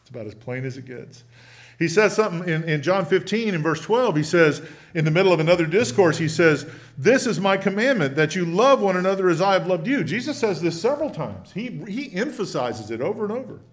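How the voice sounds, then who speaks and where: A man preaching a sermon in a church, folksy and conversational, slowing down to read scripture with emphasis. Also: very animated. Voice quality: big booming voice. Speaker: preacher teaching a church lesson. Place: church sanctuary.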